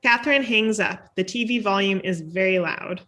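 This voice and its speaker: A woman's voice: mid-range voice, normal speed, sharp tone. A woman reading the stage directions of a screenplay at a table read, as scripted narration.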